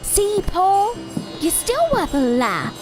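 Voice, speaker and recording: A woman playing a sharp-tongued, taunting doll from a stage act in an audio drama, with a theatrical, cutting manner. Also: very high voice, big pitch swings, takes it slowly, over music, heavily acted. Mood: mocking.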